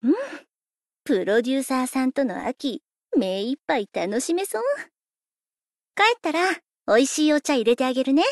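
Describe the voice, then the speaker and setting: A woman's voice: sits high, lively intonation, normal speed, darkish tone, no room sound, clean studio sound. A woman playing a playful, laid-back young pop idol in scripted game lines, coaxing and lightly teasing in a breezy way.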